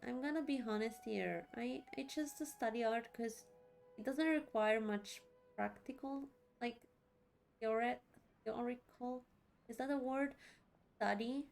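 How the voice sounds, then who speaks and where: Casual young woman gamer, highish voice. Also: a little slow, gravelly, faint music behind. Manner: informal, sweary. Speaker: streamer. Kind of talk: casual let's play commentary.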